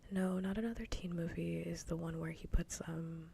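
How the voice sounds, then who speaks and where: A woman streamer chatting casually in a soft, breathy whisper, rambling along in ASMR style on a livestream. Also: on the low side, fairly even intonation, moderate pace, slight background noise. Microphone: close ASMR microphone.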